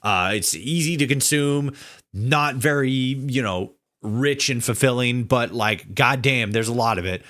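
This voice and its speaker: A man hosting a casual interview, talking in a rambling, halting way and circling back over his phrases. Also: medium pitch, fairly bright tone. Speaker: podcast host interviewing a guest. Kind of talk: casual long-winded interview chat.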